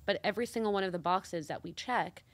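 A woman's voice: medium pitch, fast talker. A woman from Mexico co-hosting a casual talk show, speaking earnestly and reflectively with many fillers. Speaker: co-host. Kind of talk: casual chat.

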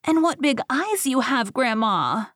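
A woman's voice: big pitch swings, unhurried, fairly bright tone, dry recording, clean studio sound, full character voice. A woman voicing a trusting, polite little girl in a fairy tale, with a small voice. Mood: wondering. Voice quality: small, high voice.

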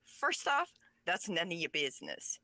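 A woman's voice: on the low side, huge pitch swings, normal speed, pushed and bright, dry recording. A woman whose first language is Pennsylvania Dutch, talking as an author guest in an interview, speaking passionately and with emphasis. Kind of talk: conversational interview.

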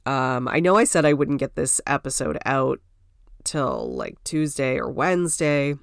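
A woman's voice: very deep voice, big pitch swings, measured pace, creaky, clean tone, no echo, studio quality. A woman hosting a solo podcast from home, congested from a cold, chatting in a rambling way with lots of "like" and "you know". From Canada. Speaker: podcast host. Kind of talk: casual solo podcast chat.

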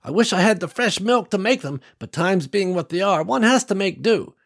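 A man voicing a warm, folksy old country woman who welcomes visitors to her farm. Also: high voice, lively intonation, normal speed, vocal fry, pitched above the performer's own voice, full character voice. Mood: welcoming.

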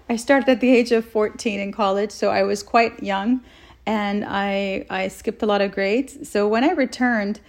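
A woman from Saudi Arabia speaks as an interview guest, her voice marked by a good deal of creak. She talks at length in an earnest, enthusiastic, conversational way, at a middling pitch. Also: steady tone, a little slow. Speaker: interview guest, health researcher.

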